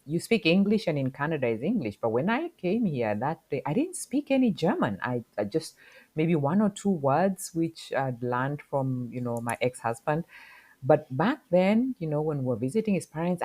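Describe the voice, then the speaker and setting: Brief: middle-aged woman, podcast guest, unusually low pitch, thoughtful and searching, lots of fillers. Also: warm tone, husky. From Kenya. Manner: reflective, halting, many fillers. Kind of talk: casual interview talk.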